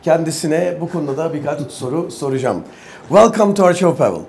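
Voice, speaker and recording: A man's voice: a little high, animated, a little fast, a little mellow. A man with a Turkish accent, a native Turkish speaker, hosting a conversational interview. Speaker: interview show host. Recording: clear background ambient noise.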